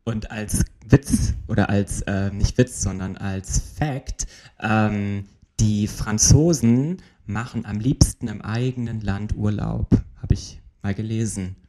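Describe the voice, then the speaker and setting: A man co-hosting a travel podcast, chatting in a relaxed way and dropping in English words. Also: on the low side, slow. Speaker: podcast co-host. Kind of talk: casual chat.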